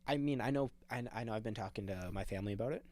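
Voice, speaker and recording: Young male podcast co-host, loose and jokey, casual swearing, slightly low voice. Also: very fast, a little mellow. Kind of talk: casual chat.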